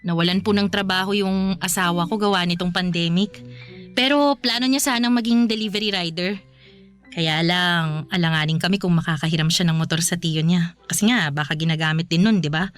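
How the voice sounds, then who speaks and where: A woman's voice: on the low side, steady tone, fairly quick, soft background music, lightly acted. A woman playing a supportive, practical young wife with a Filipino accent in a radio drama, speaking in a reassuring, coaxing way. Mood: respectful, pleading.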